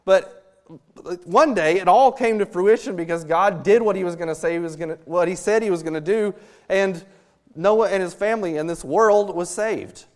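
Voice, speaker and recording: A young man with a high-pitched voice preaches a sermon with lively energy. He acts out small scenes and throws in jokes as he speaks to the congregation. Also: normal speed. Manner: animated, acts out quotes with humor. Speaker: missionary preacher.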